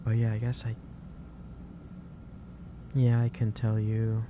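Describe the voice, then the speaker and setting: Low, wide-ranging man in hesitant ASMR roleplay. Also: monotone. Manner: hesitant, halting, pausing mid-sentence, gently advising. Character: gentle, caring male office boss. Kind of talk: soft ASMR roleplay, improvised monologue to the listener. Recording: clearly noisy background ambience.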